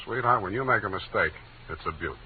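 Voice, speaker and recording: An actor playing a wry, hard-boiled private detective in a 1940s radio drama, full of dry, sardonic wisecracks. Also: male voice, lowish voice, animated, a little fast, crowd noise, natural voice. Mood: dry, skeptical. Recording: tinny old radio recording with music.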